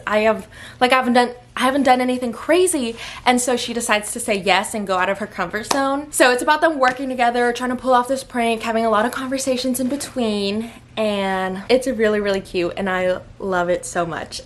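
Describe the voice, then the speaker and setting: A woman's voice: on the high side, fairly bright tone. A young adult woman whose first language is Vietnamese, chatting casually through a monthly book wrap-up with plenty of filler words. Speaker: book vlogger.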